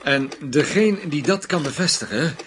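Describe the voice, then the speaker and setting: An actor playing an authoritative, methodical police chief detective in a radio drama, with an insistent manner and a husky voice. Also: male voice, a little high, animated, normal speed, a bit bright, a little hiss or hum. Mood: measured, probing.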